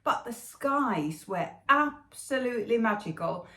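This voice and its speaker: A woman's voice: on the high side, animated, unhurried, fairly bright tone, roomy sound. A middle-aged woman from Finland, chatting casually as host of a knitting podcast, with lots of fillers and restarts. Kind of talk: casual chat to camera.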